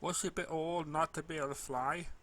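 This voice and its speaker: A man narrating a first-person story expressively, with an animated manner and the occasional stumble over words. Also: on the high side, quick pace.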